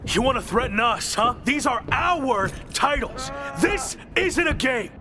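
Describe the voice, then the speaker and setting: An actor playing a cocky, ambitious young wrestler in a video game, speaking in an assertive, argumentative way. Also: male voice, extremely high pitch, lively intonation, a little slow, music in the background. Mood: angry. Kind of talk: scripted video game cutscene acting.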